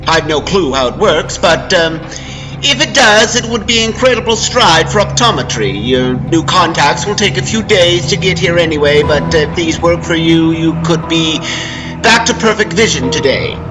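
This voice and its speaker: Man playing an eager eye doctor, raised pitch, halting and hedging delivery, story narration character, music behind. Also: expressive, lightly acted, rough recording. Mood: eager, persuasive. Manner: halting, hedging with ums and uhs. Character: eager, hesitant optometrist pitching experimental lenses.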